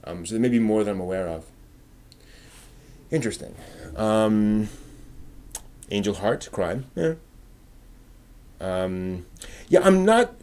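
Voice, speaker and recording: A man hosting a casual live stream, chatting and thinking aloud in a halting way, often trailing off as he answers viewers. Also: on the low side, lively intonation, darkish tone.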